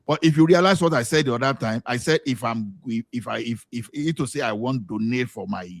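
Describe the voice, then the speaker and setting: A man in a group discussion who speaks haltingly and repeats his words as he starts. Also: medium pitch, vocal fry, no echo.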